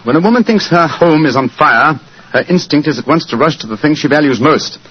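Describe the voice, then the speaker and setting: An actor playing an assured, courteous gentleman detective with a precise manner, in 1940s radio drama style. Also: fairly high pitch, expressive, fairly quick, slightly rough. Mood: assured, explaining. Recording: dull vintage radio recording.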